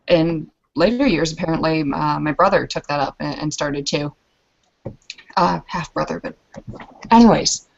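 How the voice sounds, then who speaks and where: A woman roleplays a female werewolf warrior in a lowish voice. She rambles through tales of combat, hesitant and self-deprecating yet boastful. The sound is dull and lo-fi. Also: normal speed, gravelly. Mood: rambling, self-deprecating. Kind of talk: in-character tabletop roleplay storytelling.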